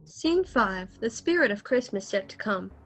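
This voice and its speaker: A woman's voice: mid-range voice, lively intonation, moderate pace, fairly bright tone. A woman narrator reading scripted stage directions for a radio play, recorded remotely. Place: remote home recording over video call.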